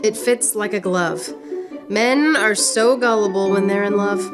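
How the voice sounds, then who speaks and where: A woman's voice: mid-range voice, unhurried, close to an everyday voice. A woman playing a witty, proud poet-soldier, speaking with wry, articulate delivery in a radio drama. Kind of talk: theatrical radio drama acting. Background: music.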